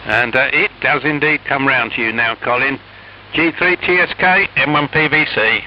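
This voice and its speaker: A man's voice: mid-range voice, normal speed. A man chatting casually as an amateur radio operator over shortwave, rambling and hesitant in an amiable way. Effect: shortwave radio transmission. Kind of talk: casual ham radio chat. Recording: noisy, hissy, muffled shortwave radio signal. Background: radio hiss and static.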